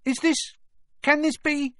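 A man's voice: medium pitch, wildly swooping pitch, takes it slowly, breathy edge, no room sound, clean studio sound, full character voice. A man voicing an old bald innkeeper, breathless and quavering with awe. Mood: awestruck.

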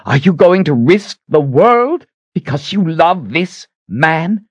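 A man's voice: sits low, lively intonation, normal speed, dark tone, dry recording. A man voicing a furious, commanding witch woman in an audiobook, full of accusing menace. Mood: screaming fury. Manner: hissing threats, accusing rhetorical questions.

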